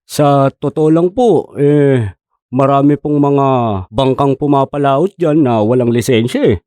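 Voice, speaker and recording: An actor plays an earnest, respectful fishing captain in a radio drama. His voice is dark and relaxed at a middling pitch. The sound is dry and close. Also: measured pace, dry recording, lightly acted. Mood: earnest, concerned. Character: plain-spoken commercial fishing boat captain.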